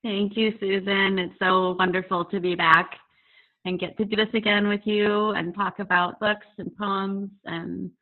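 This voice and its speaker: A woman in her forties, a poet and author, speaking candidly and reflectively on a video call interview, often pausing with fillers. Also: medium pitch, level tone. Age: 40s. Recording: tinny phone-like audio over a video call.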